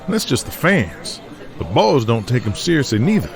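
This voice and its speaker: A man voicing a weary, troubled male wrestler and booker who speaks in a halting, folksy way. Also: medium pitch, huge pitch swings, a crowd in the background, voice pushed up. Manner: quiet, halting, folksy grammar. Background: music underneath.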